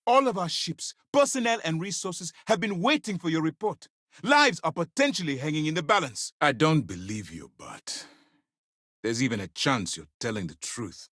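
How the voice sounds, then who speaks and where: An actor playing a stern, commanding space military commander in a video game, speaking in a formal, measured, authoritative way. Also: male voice, lowish voice, fairly bright tone, no room sound, clean studio sound, full character voice. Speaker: fleet commander.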